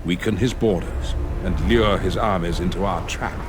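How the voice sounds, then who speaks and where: A man acts a wise old wizard narrating a fantasy game. His voice is deep and grave, with portentous, measured drama. Music plays clearly behind him. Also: lightly acted. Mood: grave, foreboding. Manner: solemn, portentous.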